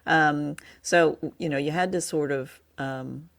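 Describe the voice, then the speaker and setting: A woman's voice: low voice, steady tone, takes it slowly, gravelly. Hesitant woman co-host chatting casually. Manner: hesitant, searching for words. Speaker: podcast co-host. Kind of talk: casual review chat.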